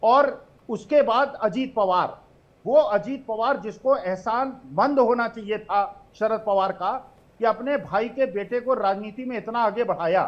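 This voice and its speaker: A man in his seventies making slow, emphatic accusations as a political panelist in a TV debate. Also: high voice, muffled recording. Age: 70s.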